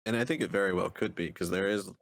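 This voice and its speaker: A man with a remarkably deep voice talks as a podcast co-host. The sound is dry, with almost no room on it. His delivery is casual, like someone discussing a book with friends. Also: quick pace, gravelly, no room sound.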